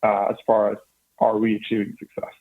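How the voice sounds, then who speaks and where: A man's voice: lowish voice, monotone, brisk. Norwegian guy in his 30s, earnest founder, lots of uhs and restarts, phone-line sound. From Norway. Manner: earnest, with frequent restarts and fillers. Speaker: startup founder guest. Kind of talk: radio interview answers. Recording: band-limited phone line.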